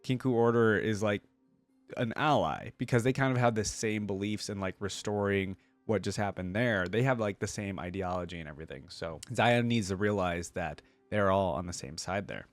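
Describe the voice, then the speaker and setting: A man's voice: on the low side, normal speed, no echo. A man hosting a reaction video, thinking aloud in casual, informal commentary. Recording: music playing behind the voice.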